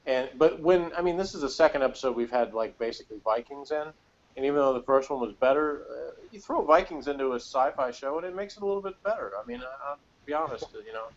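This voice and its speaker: A man co-hosting a casual review podcast, talking in a rambling, hedging, wry way. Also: medium pitch. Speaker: podcast co-host reviewing a TV episode. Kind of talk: casual chat. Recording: dull, lo-fi sound.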